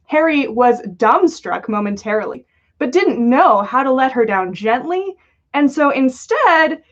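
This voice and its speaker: A woman's voice: sits high, very animated, unhurried. A woman reading a story aloud as a livestream host, with lo-fi sound. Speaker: podcast host reading fan fiction aloud. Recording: dull lo-fi livestream audio.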